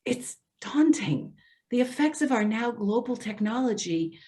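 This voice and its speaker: A woman's voice: a little high, lively intonation, unhurried, darkish tone. A woman acting an earnest, protective social worker in readers theater, speaking carefully and insistently. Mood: cautioning. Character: earnest, protective female elder-care social worker, cautious.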